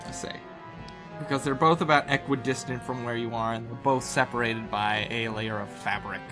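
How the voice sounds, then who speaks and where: A man acting as game master, narrating a tabletop game casually and informally, often pausing to think aloud. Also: medium pitch, steady tone. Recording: music behind the voice. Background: game soundtrack music.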